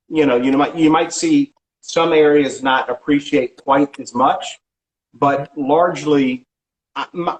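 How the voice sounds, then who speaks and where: A middle-aged man working as a real estate agent, answering interview questions in a casual, halting way with frequent restarts and filler sounds. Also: medium pitch, low-quality recording. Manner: halting, many restarts and ums.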